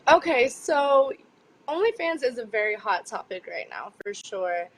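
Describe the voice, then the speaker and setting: A woman's voice: on the high side, a little slow, poor audio. A woman appearing as a featured guest on a live show, talking casually with lots of fillers. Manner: chatty, relaxed, filler-heavy. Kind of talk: casual talk.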